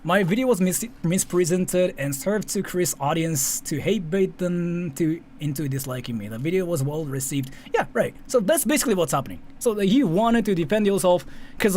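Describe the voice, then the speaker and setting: Lively japanese guy, native japanese speaker, rambling casual youtube commentary, voice swings up and down, some noise behind him. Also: high-pitched, brisk. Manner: rambling, repeats himself, often checks with "right?".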